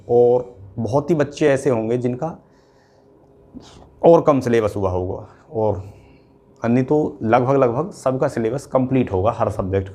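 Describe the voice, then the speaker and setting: A man with a medium-pitched voice speaks as an exam coach. He explains things informally and directly to students, often pausing on short check-in words as he goes. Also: darkish tone. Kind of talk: informal instructional talk to students.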